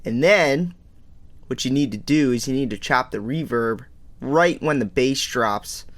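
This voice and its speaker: A man's voice: medium pitch, measured pace. Rambling self-deprecating young producer guy. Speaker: music producer teaching. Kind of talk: casual tutorial walkthrough. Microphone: studio microphone.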